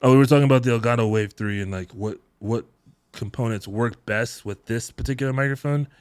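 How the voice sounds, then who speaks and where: A man in his thirties hosting a live tech-deals stream from his home setup, chatting in a relaxed, rambling way with frequent chuckles into a close boom mic. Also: fairly quick, clear, resonant tone, no room sound. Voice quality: deep voice. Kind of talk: casual live-stream chat. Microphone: boom mic close to mouth, headphones on.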